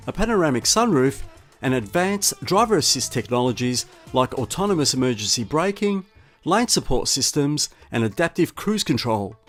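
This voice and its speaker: A man reading a scripted car review narration as the reviewer. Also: a little high. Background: music bed.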